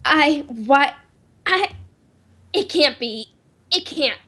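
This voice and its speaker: Woman playing an anxious, high-voiced radio drama heroine. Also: lively intonation, drawn-out words, sharp tone, exaggerated voice. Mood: anxious, disbelieving. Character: anxious, insistent well-to-do woman suspecting an impostor brother. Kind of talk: old time radio drama acting.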